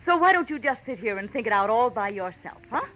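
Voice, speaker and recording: An actress playing a guarded, impatient married woman in an old-time radio drama, with a crisp, suspicious manner. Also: fairly high pitch, expressive, fast, background noise, lightly acted. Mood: alarmed, cold. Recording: muffled old radio recording.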